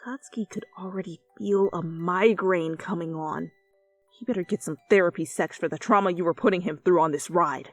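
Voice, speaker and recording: A woman giving an expressive, theatrical dramatic reading of fan fiction as narrator. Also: fairly low pitch, fairly quick, dry recording. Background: background music.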